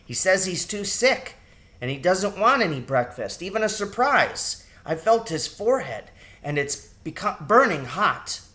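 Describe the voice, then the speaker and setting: A man's voice: high-pitched, very animated, measured pace, bright, forceful tone, pitched above the performer's own voice, full character voice. A man voicing a dutiful young mouse child in a storybook, speaking with earnest concern. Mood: worried, earnest.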